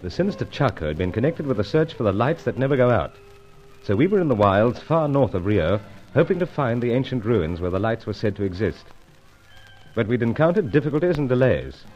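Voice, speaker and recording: An actor playing a brisk, unflappable British secret agent who narrates his own adventure, with a clipped, stiff-upper-lip delivery, in an old radio serial. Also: medium pitch, sing-song, darkish tone, soft background music, lightly acted. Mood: narrating. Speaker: narrator and lead. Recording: dull lo-fi old radio recording.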